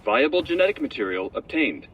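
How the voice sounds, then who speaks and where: A man's voice: fairly high pitch, big pitch swings, brisk. A man voicing an impersonal machine announcer in a video game, giving clipped status reports. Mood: impersonal, factual. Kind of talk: video game cinematic acting. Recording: dull, distant, faintly noisy.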